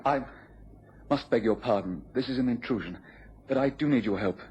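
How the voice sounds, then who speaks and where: A man's voice: mid-range voice, normal speed, a little mellow, close to an everyday voice. Guy playing nervous polite young gent in an old radio play, formal and earnest, muffled sound. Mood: anxious, grateful. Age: young adult. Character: anxious, polite young gentleman seeking urgent help. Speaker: actor playing a visiting client. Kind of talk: radio drama acting. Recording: dull lo-fi radio recording, faint noise.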